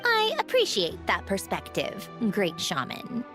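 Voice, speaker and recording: An actress playing a proud, coolly courteous mechanical woman of high rank in a video game, speaking in formal, precise phrases. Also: wildly swooping pitch, music in the background. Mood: courteous, composed. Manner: formal, measured, precise wording.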